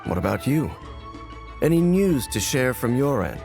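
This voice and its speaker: An actor playing a brooding, coldly determined warrior in a video game, speaking in a measured, solemn, formal way. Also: male voice, mid-range voice, sing-song, gravelly. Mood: serious, pondering. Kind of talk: English video game voice acting. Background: background music.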